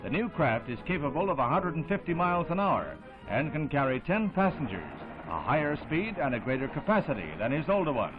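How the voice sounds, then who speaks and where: A man's voice: a little high, sing-song, fairly quick, music in the background. A man narrating a 1960s newsreel in a formal, declamatory announcer's style. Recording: phone-like vintage 1960s newsreel soundtrack.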